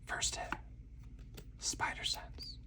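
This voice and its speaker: A man speaks in a breathy whisper, sitting slightly back from the microphone. He narrates a tabletop game move by move in a slow, soothing ASMR style. His delivery stays deliberate and hushed. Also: distant mic. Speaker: solo board game player and channel host.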